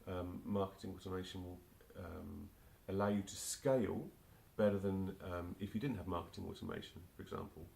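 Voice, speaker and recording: Gravelly London man in his forties. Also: low voice, level tone, fairly quick, hardly any pauses, a little mellow. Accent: London English. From England. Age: 40s. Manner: hesitant, many restarts and fillers. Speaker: marketing automation specialist, guest. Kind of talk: casual interview answers.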